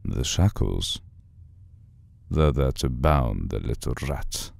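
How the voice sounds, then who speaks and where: A man voicing an aloof, menacing vampire lord in an ASMR roleplay, speaking formally and deliberately in a brooding, husky, gravelly voice. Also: rumbling low, lively intonation, darkish tone, exaggerated voice. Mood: quietly menacing.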